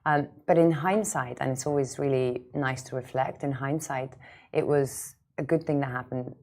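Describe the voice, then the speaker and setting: A woman's voice: extremely low pitch, fairly even intonation, moderate pace, darkish tone. A woman in her thirties from Lithuania, a founder speaking conversationally as an interview guest, with emphatic repetition. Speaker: startup founder, podcast guest.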